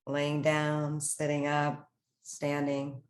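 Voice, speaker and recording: A woman with a low, level voice guides a meditation. She speaks in soothing, short phrases and leaves space between them. Her intonation stays very even throughout. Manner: slow, pausing, soothing instructional delivery in short phrases.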